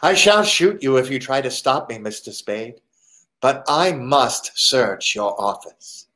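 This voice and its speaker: A man playing a courteous but menacing foreign gentleman crook in a 1940s-style radio drama, with formal, deliberate phrasing. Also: mid-range voice, animated. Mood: quietly menacing.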